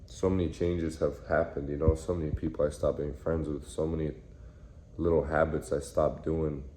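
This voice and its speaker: A man in his early twenties, from the United States, talking in a reflective, halting way with many repeated phrases in a casual vlog. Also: extremely low pitch, level tone, dark tone. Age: 20s. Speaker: vlogger. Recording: echoey room with faint noise.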